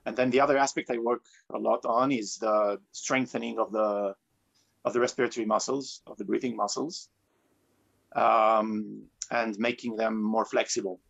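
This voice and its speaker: Low-pitched, gravelly man, muffled recording. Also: steady tone, normal speed, a bit bright. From Tunisia. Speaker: guest, professional free diver. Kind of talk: conversational interview answers. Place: remote online call. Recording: dull lo-fi online call.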